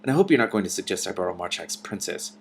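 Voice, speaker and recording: Man reading as a brisk, stubborn young princess. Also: low-pitched, very animated, vocal fry, slight background noise, full character voice. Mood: matter-of-fact. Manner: brisk, matter-of-fact retorts. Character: stubborn, practical young princess who works for dragons.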